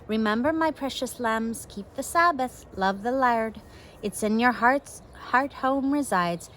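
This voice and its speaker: A woman reader puts on a loving Irish mother from a storybook. She is gentle and affectionate, with expressive pitch. There is light noise behind the voice. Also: a little slow. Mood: tender. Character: tender, loving Irish mother to her daughters.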